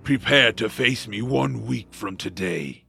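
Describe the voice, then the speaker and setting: Slow, gravelly, menacing orc warrior. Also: male voice, on the low side, expressive, bright, forceful tone, faint music behind, heavily acted. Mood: menacing, scornful. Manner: pompous, threatening, deliberate. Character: menacing, haughty orc clan warrior issuing challenges. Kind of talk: audio drama acting.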